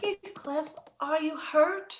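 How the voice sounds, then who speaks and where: A high, unhurried girlish voice, played by a woman, pleading and dreamy in old-time radio style. She sounds earnest and willful, recorded in an echoey room over a slightly muffled link. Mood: soothing, coaxing. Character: willful, pleading young girl, dreamy and romantic. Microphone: remote video call. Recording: roomy, muffled home video-call recording.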